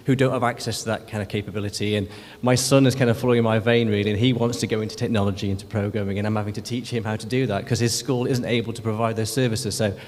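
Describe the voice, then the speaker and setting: A man's voice: on the low side, steady tone, fast talker, darkish tone. A man, a company vice president, giving a conversational speech at an event and often saying "kind of". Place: large event hall. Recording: long hall reverb.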